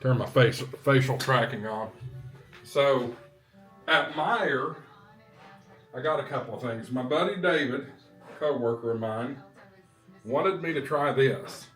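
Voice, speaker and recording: A man talking casually to viewers as a vlog host, in an unhurried, halting way with short phrases. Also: medium pitch, a bit bright, soft background music. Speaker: vlog host, ham radio hobbyist.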